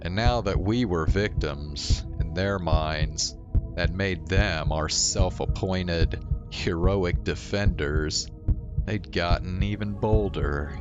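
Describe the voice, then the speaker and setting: A man's voice: low-pitched, slow pace, highs cut off. A man reading visual novel narration aloud in a dramatic first-person storytelling style. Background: faint game music.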